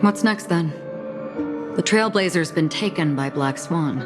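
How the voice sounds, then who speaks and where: A woman playing a calm, melancholy swordfighter in a video game, speaking in a measured, philosophical way. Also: on the low side, close to an everyday voice. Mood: calm, composed. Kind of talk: English video game voice acting. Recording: background music under dialogue.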